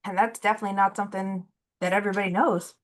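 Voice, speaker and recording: A woman's voice: medium pitch, steady tone, racing, crowd noise. Curious woman host asking questions. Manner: curious, supportive, asks follow-up questions. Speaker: podcast host. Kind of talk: casual interview chat.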